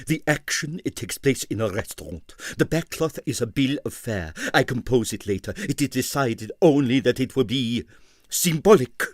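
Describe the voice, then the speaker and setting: A man's voice: medium pitch, expressive, normal speed, no room sound, studio quality, natural voice. A man voicing a touchy, grandiose male choreographer in a storybook reading. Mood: pompous. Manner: grandiose, brooding.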